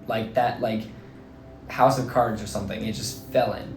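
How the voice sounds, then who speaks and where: A young man in his twenties from New Jersey, his voice slightly hoarse, talking through his thoughts in a casual, rambling way full of fillers. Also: lowish voice, fairly even intonation, moderate pace. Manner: rambling, filler-heavy, earnest. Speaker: vlogger and teacher. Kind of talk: casual reflective monologue. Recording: roomy echo, faint background music.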